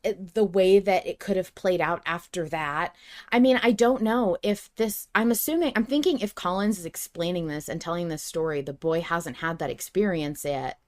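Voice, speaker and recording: A woman's voice: fairly low pitch, normal speed, darkish tone. A woman hosting a podcast in casual fan chat, with an effusive way of talking. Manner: effusive, gushing. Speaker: podcast host.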